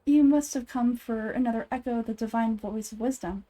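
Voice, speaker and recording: A woman's voice: a little high, fairly quick, mellow, natural voice, rough recording. A woman voicing a nervous game character who bluffs as a fortune teller, hesitant and overeager. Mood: bluffing. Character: flustered fake fortune teller improvising divine prophecies.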